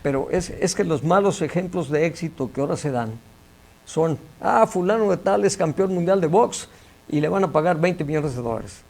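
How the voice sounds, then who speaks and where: An older Mexican man with a gravelly, fry-edged voice that sits a little high for a man. He speaks as an interview guest, measured and emphatic, often repeating a word while he finds the next one. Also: lively intonation, darkish tone. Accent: Mexican Spanish. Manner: measured, emphatic, repeats words when searching. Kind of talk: conversational interview.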